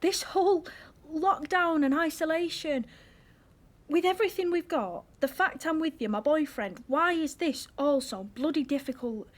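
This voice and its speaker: A woman's voice: sits high, moderate pace, close to an everyday voice. A woman acting in an audio drama as an emotional, earnest young girlfriend with a British accent, frustrated and hurt. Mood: upset. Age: young adult.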